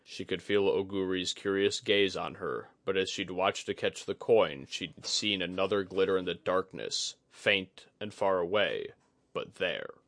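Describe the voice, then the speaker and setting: Male audiobook narrator for scripted fantasy fiction, lower register, dry studio-like sound. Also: measured pace, fairly bright tone, dry recording.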